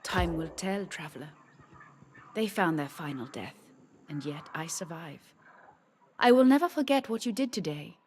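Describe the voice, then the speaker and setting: An actress playing a grieving, resolute monster hunter in a fantasy game, speaking in a solemn, dramatic, formal way. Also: female voice, lowish voice, animated, normal speed, pauses often, a little mellow, slight background noise, close to an everyday voice. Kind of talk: fantasy game dialogue acting.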